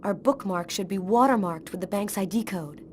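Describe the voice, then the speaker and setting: An actress playing a composed, probing police detective in a video game drama, businesslike and measured. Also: female voice, mid-range voice, darkish tone, slight background noise, natural voice. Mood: determined. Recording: music under the voice.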